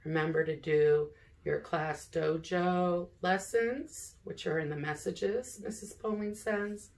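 Middle aged lady teacher doing a reading lesson for little kids, super slow n careful, creaky voice. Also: fairly low pitch, a little mellow. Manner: slow, deliberate, sounding out words and asking questions. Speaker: elementary school teacher. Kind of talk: read-aloud reading lesson for young children. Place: living room at home, seated in an armchair.

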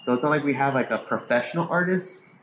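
A man's voice: medium pitch, quick pace. Male interviewer, mid-range voice, casual and reflective, roomy recording with faint noise behind him. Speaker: host. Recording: echoey space, faint background noise.

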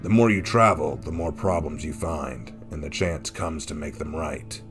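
A man voicing a stoic, blunt wandering warrior with a gruff way of talking, in a fantasy story. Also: rumbling low, moderate pace, over music, pitched below the performer's own voice. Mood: somber, gruff. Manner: blunt, terse, calm.

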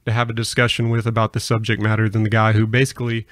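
A man's voice: on the low side, completely flat delivery, fast talker, no room sound. Male podcast interviewer, conversational and rambling, level intonation, dry sound. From US Gulf Coast. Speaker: podcast host.

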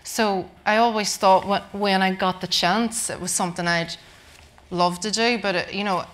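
A woman with a Northern Irish accent, a writer speaking on a live panel, halting and laughing often. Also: medium pitch, fairly even intonation, fairly bright tone. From Northern Ireland. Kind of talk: casual panel talk. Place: stage before a live audience.

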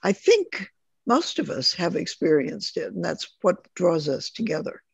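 A woman leading a group discussion on a video call, speaking in a measured, thoughtful way. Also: lowish voice, big pitch swings, gravelly, breathy edge, no room sound. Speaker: group facilitator. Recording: slightly muffled dry video call audio.

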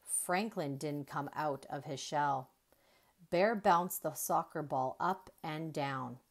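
A woman reading a children's story aloud in an expressive, deliberate storyteller's manner. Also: deep voice. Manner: deliberate, animated storytelling with emphasized dialogue.

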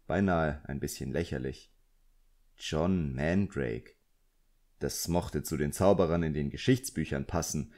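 Low-pitched male audiobook narrator. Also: a little mellow. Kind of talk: scripted literary narration.

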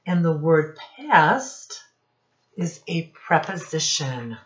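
Female storytime teacher, middle-aged, very unhurried, instructive delivery with questions for kids; slightly muffled home webcam recording. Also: sits low, sing-song. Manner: instructive, prompting listeners with questions, drawn-out emphasis on key words. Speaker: children's storytime teacher and reader. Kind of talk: read-aloud picture book lesson for young children. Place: small room indoors. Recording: dull, lo-fi webcam sound.

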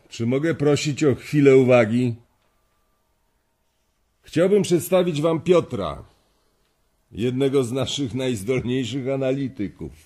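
Domineering, animated bank boss. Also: male voice, medium pitch. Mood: pompous, welcoming. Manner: commanding, theatrical. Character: domineering male bank boss, jovial and bullying. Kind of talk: radio drama acting.

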